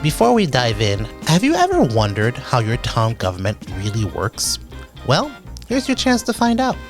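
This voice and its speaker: A man's voice: medium pitch, huge pitch swings, normal speed, music in the background. Friendly man hosting a local show. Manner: friendly, conversational, with some stumbles. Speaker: host of a local town program. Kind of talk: local cable interview and announcements.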